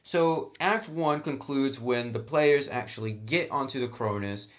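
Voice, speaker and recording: A man's voice: mid-range voice, measured pace. A man in a chatty, enthusiastic style, reviewing a tabletop roleplaying game. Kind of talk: casual review talk.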